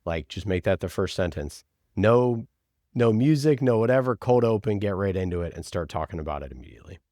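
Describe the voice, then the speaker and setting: A man's voice: fairly low pitch, expressive, a little fast, dark tone, no echo, clean studio sound. A man speaking as an interview guest, answering casually and emphatically, with informal asides in which he quotes himself and others. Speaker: podcast producer, interview guest.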